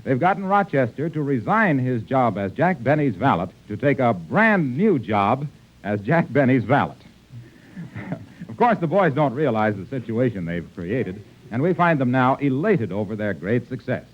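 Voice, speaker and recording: A man's voice: medium pitch, very animated, normal speed. A man announcing a 1940s radio show, reading scripted narration and sponsor links. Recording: muffled 1940s radio recording.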